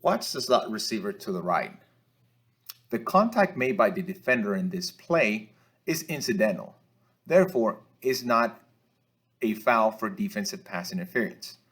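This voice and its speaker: A man narrates a sports training film review as an instructor, explaining in a measured, slightly hesitant way. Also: on the low side, very animated, fairly bright tone. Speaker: football officiating instructor.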